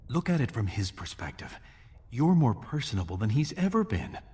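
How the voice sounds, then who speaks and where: An actor playing a scheming younger dwarf prince in a fantasy video game, measured, persuasive and conspiratorial. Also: male voice, medium pitch, sing-song, dark tone, close to an everyday voice. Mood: persuasive intrigue.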